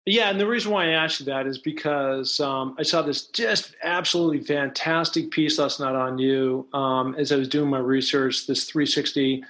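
A man's voice: mid-range voice, fairly even intonation, normal speed, hardly any pauses, fairly bright tone. A middle-aged man hosting an interview podcast, talking in a friendly, rambling way. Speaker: podcast host.

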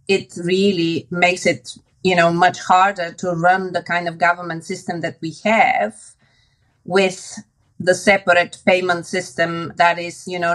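A woman's voice: lowish voice, a bit bright, rough recording. A woman from Bulgaria speaking as an expert guest, explaining things conversationally and thinking aloud as she goes. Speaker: interview guest, expert commentator.

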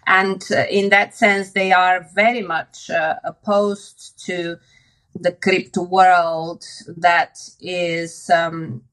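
A woman's voice: lowish voice, level tone, slow pace, a bit bright, poor audio. A woman from Bulgaria speaking as an expert guest, explaining things conversationally and thinking aloud as she goes. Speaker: interview guest, expert commentator.

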